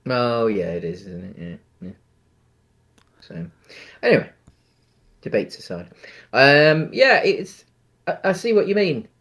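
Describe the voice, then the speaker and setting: A man's voice: medium pitch, expressive, measured pace, pauses often, a bit bright. A man co-hosting a podcast, talking casually about films and explaining in a hedging, roundabout way. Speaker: podcast co-host. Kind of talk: casual film discussion.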